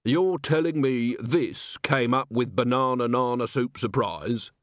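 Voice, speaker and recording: A man's voice: mid-range voice, unhurried, no room sound, studio quality, natural voice. A man voicing a snooty, irritable male publisher, brusque and dismissive, as an audiobook character. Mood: incredulous.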